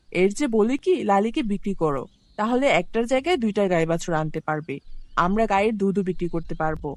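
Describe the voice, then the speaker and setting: A woman playing a poor farmer's nagging, practical wife in an animated fairy tale, scolding and mocking impatiently. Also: mid-range voice, no room sound, close to an everyday voice.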